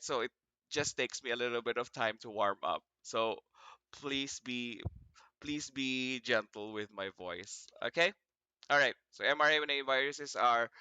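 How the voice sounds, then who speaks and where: A man's voice: mid-range voice, pushed and bright, smooth, no echo. A man with a Filipino accent giving an informal online lecture to students, encouraging them, repeating himself and asking questions, his voice slightly froggy. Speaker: virology lecturer.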